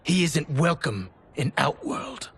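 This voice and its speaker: A man's voice: a little high, very animated, takes it slowly, vocal fry, a bit bright, slight background noise, heavily acted. A man playing a menacing, taunting soldier villain in a video game. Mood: threatening. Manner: threatening, taunting. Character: menacing male soldier loyal to a usurping general.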